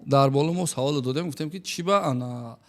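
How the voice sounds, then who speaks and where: A man's voice: mid-range voice, brisk. A man hosting a casual interview, asking teasing, probing questions.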